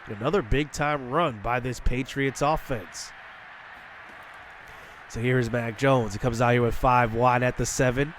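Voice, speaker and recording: A man's voice: medium pitch, measured pace, noisy background. Congested man calling a football video game. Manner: excited play-by-play, shouting on big plays. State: congested from illness. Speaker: gaming channel host. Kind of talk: play-by-play commentary over a football video game.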